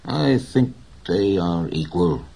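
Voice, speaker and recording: A man voicing a poor but proud, gentle old fisherman who speaks plainly and slowly. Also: deep voice, animated, vocal fry, a little hiss or hum, exaggerated voice. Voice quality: slow, plain, dignified speech.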